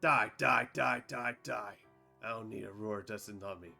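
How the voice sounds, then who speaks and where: A furious warrior lord of a beast clan roars at the queen he served, his voice loud and strained, as a man acts him out. The tone is bright and forceful, straight out of a fan-dubbed fantasy cartoon. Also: fairly low pitch, level tone, fairly quick, creaky, faint music behind. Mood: raging. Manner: shouting, raging. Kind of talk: fan dub of an animated fantasy scene.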